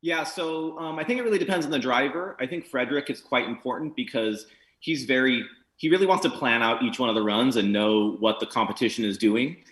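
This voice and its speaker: Relaxed, rambling male spotter at mid pitch, recorded in an echoey room. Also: fairly quick. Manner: relaxed, rambling, laughs easily. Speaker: team manager and spotter. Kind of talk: interview on a video call.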